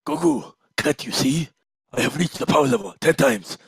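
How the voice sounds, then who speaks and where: A man's voice: medium pitch, normal speed, creaky, breathy edge, dry recording. Man putting on a boastful, taunting villain. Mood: gloating. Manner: taunting, gloating. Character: boastful villainous male tyrant in a fan story.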